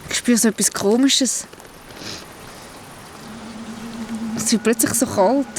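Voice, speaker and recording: A woman's voice: fairly high pitch, moderate pace, noisy background, lightly acted. A woman voicing a brave, wary fugitive creature in a children's radio play, with a Swiss German accent. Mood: uneasy.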